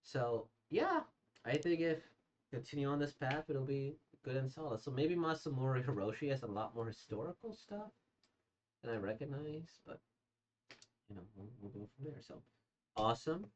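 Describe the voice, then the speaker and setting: A hoarse, slightly congested man casually reacting to manga he has read, rambling with many fillers and restarts. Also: mid-range voice, animated, moderate pace, a little hiss or hum. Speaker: manga reader and reviewer. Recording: dull lo-fi sound.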